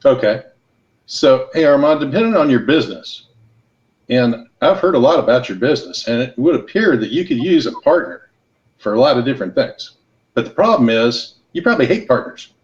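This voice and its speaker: Relaxed man giving business advice. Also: medium pitch, brisk. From Los Angeles and Hawaii. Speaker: guest entrepreneur. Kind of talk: casual podcast conversation. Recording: slightly dull lo-fi sound.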